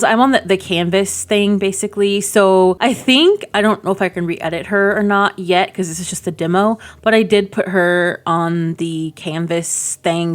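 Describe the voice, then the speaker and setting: A woman giving casual gaming commentary as a video host, chatty and enthusiastic, thinking aloud as she goes. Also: on the low side. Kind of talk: casual game preview commentary.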